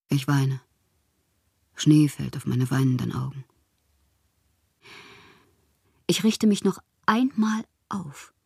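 An actress playing a proud, lovelorn woman poet in a literary radio drama monologue, theatrical and intimate with a husky, breathy voice. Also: low-pitched, mellow, dry recording, clean studio sound, full character voice. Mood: desolate, proud. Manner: theatrical, poetic, intimate, at times whispering. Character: wounded, proud, lovelorn woman poet styling herself a prince.

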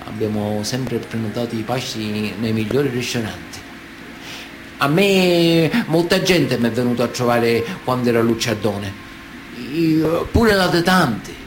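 An actor playing a boastful Sicilian mafioso telling prison tales colloquially in a radio drama monologue. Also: male voice, mid-range voice, unhurried, a little hiss or hum, close to an everyday voice, rough recording. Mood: boastful, nostalgic. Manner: colloquial, storytelling, cocky.

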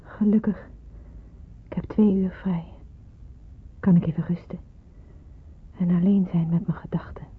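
An actress playing an earnest, resigned young nurse in a radio drama, speaking hesitantly. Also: female voice, fairly low pitch, steady tone, a little slow, long pauses, breathy edge. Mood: brooding, troubled. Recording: muffled, narrow-band old radio play, hissy.

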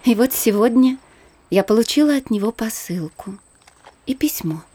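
An actress playing a gentle, reserved young married woman who talks softly and confidingly in a radio play. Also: on the high side, animated, moderate pace. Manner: soft, restrained, confiding. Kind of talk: theatrical radio play acting.

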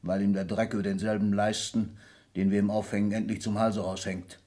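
A proud, ailing old patriarch of industry, acted by a man for a radio play. His voice is low and husky. He declaims in formal, emphatic German stage diction, disillusioned yet used to command. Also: little pitch movement, brisk, a little mellow, natural voice. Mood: weary disgust. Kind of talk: German radio drama acting.

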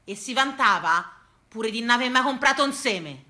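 A woman's voice: a little high, expressive, normal speed, pushed and bright. A woman with a Tuscan accent performing a theatrical monologue, emphatic and rhythmic, building lists and repetitions like incantations. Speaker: stage actress and writer performing her own monologue.

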